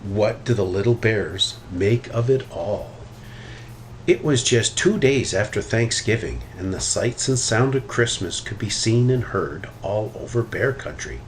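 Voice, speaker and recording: A man reads a children's storybook aloud in a fairly low voice. His delivery swings expressively up and down, and there is some light noise behind him. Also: lively intonation, a little slow, low-quality recording. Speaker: storybook reader. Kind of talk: reading a children's picture book aloud. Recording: noticeable background noise.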